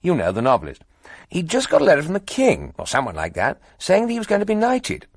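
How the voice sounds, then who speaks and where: A man voicing an eager, chattering young upper-class man who gushes in a breathless, high-pitched way. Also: wildly swooping pitch, fast, vocal fry, no room sound, studio quality, heavily acted.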